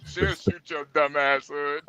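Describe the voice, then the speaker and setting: A man's voice: a little high, sing-song, slow, muffled recording, a crowd in the background. An American man in casual chat who repeats his phrases for emphasis.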